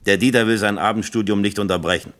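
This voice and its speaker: An actor as an authoritative factory party secretary in a radio drama. His voice is fairly low, and he speaks in a brusque, commanding way, lecturing like an official used to being obeyed. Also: steady tone, quick pace, fairly bright tone, close to an everyday voice. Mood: firm, lecturing. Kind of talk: German radio play acting.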